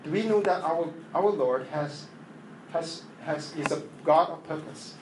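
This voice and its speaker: A man whose first language is Chinese preaches in English, his voice sitting a little high. He speaks haltingly and earnestly, full of fillers and restarts. The room is echoey, with a faint hum behind. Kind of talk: sermon with opening prayer.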